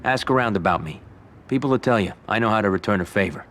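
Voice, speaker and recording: A man playing a tough, defiant mafia don in a crime game, blunt and forceful. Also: on the low side, lively intonation, fast talker, a little hiss or hum. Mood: firm, resolute. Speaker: video game character actor. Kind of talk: cinematic crime drama acting.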